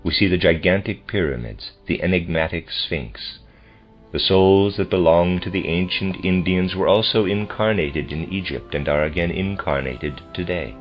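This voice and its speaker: A man reading a translated lecture aloud like an audiobook, with measured, formal and deliberate phrasing. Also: deep voice. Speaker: lecture reader. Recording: dull lo-fi recording with music behind. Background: music.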